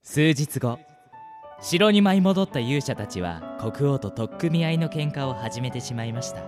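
A man narrating a comic fantasy radio drama in a calm, even storytelling manner. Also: medium pitch, moderate pace, music in the background.

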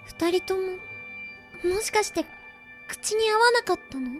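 A very high-pitched, sweet little girl. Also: expressive, unhurried, fairly bright tone, faint music behind, full character voice. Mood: wounded, self-pitying. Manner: cutesy, polite, melodramatically self-pitying. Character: sweet, easily wounded young girl. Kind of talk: amateur comedic radio drama acting.

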